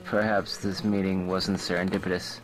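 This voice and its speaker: A man playing a battered, vengeful wanderer in a halting, casual way for an amateur animated film. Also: low-pitched, soft background music, natural voice, low-quality recording. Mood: earnest.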